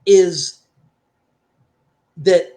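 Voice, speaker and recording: A man's voice: sits high, extremely slow, warm tone. A man from the Caribbean, a martial arts instructor talking in a casual discussion, thoughtful and full of restarts. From Trinidad, raised in Barbados. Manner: thoughtful, restarts often, stresses key points.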